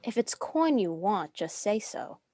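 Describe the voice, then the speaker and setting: A woman's voice: mid-range voice, big pitch swings, measured pace, dark tone. Woman acting as proud, defiant nun, music behind. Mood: firm, insistent. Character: proud, defiant young pregnant nun demanding justice. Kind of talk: dramatic script reading.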